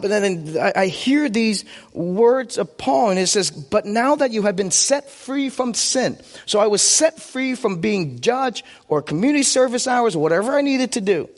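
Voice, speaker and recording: A man in his forties, a native Vietnamese speaker, preaching conversationally with personal stories and questions he answers himself. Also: high-pitched. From United States. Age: 40s. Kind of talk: sermon with personal anecdotes. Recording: long hall reverb.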